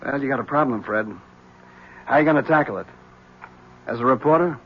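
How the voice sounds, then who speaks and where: A man's voice: medium pitch, brisk, very noisy, lightly acted. An actor playing a wry, shrewd male police lieutenant in a 1970s radio drama. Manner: wry, needling. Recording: dull lo-fi 1970s radio broadcast.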